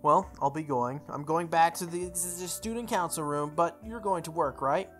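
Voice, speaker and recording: A woman's voice: fairly low pitch, normal speed, close to an everyday voice. A woman voicing a prim, judgmental male student, dry and pedantic, in a visual novel. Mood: matter-of-fact. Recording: music playing behind the voice.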